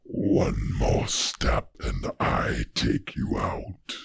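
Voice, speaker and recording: A man voicing a huge, haughty, menacing dragon for fantasy game lines, in a slow, gravelly, raspy growl. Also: very deep voice, sing-song, dry recording, studio quality, heavily acted. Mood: threatening. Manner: slow, drawn-out, menacing delivery. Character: huge menacing male dragon guarding his hoard, haughty and threatening.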